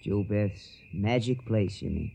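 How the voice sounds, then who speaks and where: A man playing a gentle, wistful young soldier in a radio drama, speaking in a measured, reflective way. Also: on the low side, creaky. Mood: wistful, resolute. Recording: noisy old radio drama recording.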